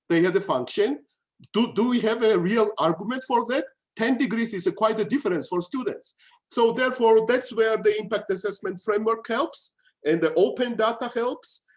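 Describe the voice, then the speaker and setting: A man giving a halting online presentation over a video call, repeating words and searching for phrasing. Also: high voice. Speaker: workshop presenter.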